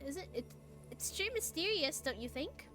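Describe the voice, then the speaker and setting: High bouncy gossip girl done by a streamer lady, bright and lively, game music low. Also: normal speed. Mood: curious. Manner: breezy, gossipy reading of character lines.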